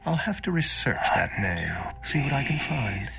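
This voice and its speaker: An actor playing a self-assured, scholarly loner vampire in a video game, speaking in an erudite, wry, measured manner. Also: male voice, mid-range voice, very animated, gravelly, music in the background, poor audio. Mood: cool, intrigued. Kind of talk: dramatic video game voice acting.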